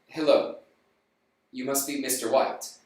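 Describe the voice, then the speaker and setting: A storyteller voicing a nervous, solemn man from a factory who speaks haltingly. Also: medium pitch, fairly even intonation, darkish tone, pitched below the performer's own voice, natural voice. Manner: hesitant, halting. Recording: roomy, distant mic.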